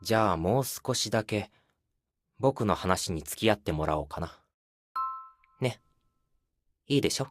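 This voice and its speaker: Male actor as aloof lonely young man, intimate game dialogue, lowish voice, very varied melody, coy, casual. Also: animated, quick pace, long pauses, lightly acted. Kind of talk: intimate phone-call game voice acting.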